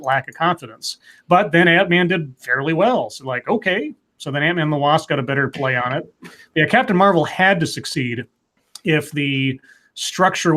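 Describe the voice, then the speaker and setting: A man's voice: on the high side, fairly bright tone. A man as a guest on a casual live panel chat, reasoning aloud with hesitant restarts. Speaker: podcast guest.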